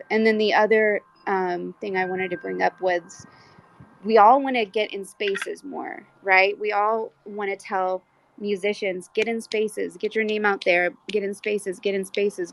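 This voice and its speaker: Gravelly-edged woman in earnest discussion. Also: medium pitch, low-quality recording. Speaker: speaker in an online audio community discussion. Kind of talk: casual, reflective group discussion.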